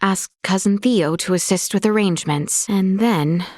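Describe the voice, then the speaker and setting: A woman's voice: mid-range voice, normal speed, a little mellow, no echo, natural voice. A woman playing a young princess in a video game, speaking in a formal, courtly and earnest way, recorded dry in a studio. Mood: warm, earnest. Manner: formal, courtly, archaic phrasing. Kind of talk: scripted video game voice acting.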